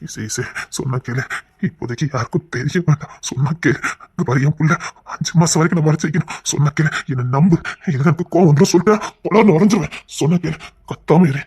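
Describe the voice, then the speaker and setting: Guy acting as stubborn worried husband in a melodrama, talks really fast, husky, keeps insisting, coaxes then scolds. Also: fairly high pitch. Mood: firm, hushing. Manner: insistent, repetitive, swinging from hushed coaxing to agitated scolding. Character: stubborn, controlling husband and anxious father-to-be, pleading and scolding. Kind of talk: emotional melodrama dialogue.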